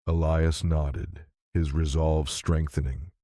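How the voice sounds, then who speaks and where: Very deep, mellow male audiobook narrator. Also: little pitch movement, slow pace, no echo, studio quality. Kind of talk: scripted science fiction narration.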